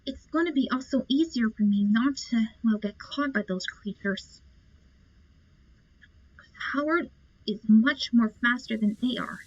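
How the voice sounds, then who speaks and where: A woman's voice: a little high, a little slow, pauses often, slightly rough. A woman giving casual commentary as she plays through a video game. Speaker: gamer presenting a playthrough. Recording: dull lo-fi mic.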